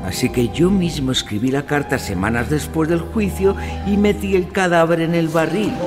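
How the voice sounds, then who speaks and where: A man playing a clever, self-assured gentleman who explains and narrates in a formal, measured style for an audio drama. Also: mid-range voice, close to an everyday voice. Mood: assured, revealing.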